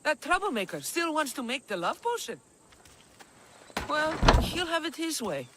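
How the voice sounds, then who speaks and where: An actress playing a shrewd, unsentimental ancient Greek herb farmer in a video game, matter-of-fact in manner. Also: female voice, high voice, sing-song, moderate pace, fairly bright tone. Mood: shrewd, unapologetic. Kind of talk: video game cutscene acting.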